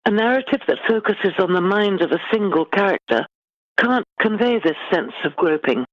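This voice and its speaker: A woman's voice: medium pitch, expressive, no room sound. Articulate British woman, slightly dull recording. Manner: articulate, measured, formal phrasing. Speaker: guest author. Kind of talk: literary interview, considered answers.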